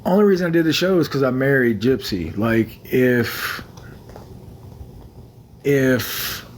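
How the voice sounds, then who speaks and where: A man's voice: mid-range voice, expressive, slow, slight background noise. A young man chatting on a phone livestream, rambling, repeating himself and thanking viewers by name. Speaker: livestream host. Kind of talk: casual livestream chat with viewers.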